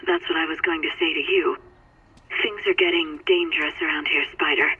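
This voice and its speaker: A woman voicing a sly, flirtatious cat burglar in a video game, husky and purring through a phone filter. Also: deep voice, fairly even intonation. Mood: flirtatious, teasing. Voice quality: husky, breathy. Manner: purring, drawn-out, suggestive. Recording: narrow, tinny phone line.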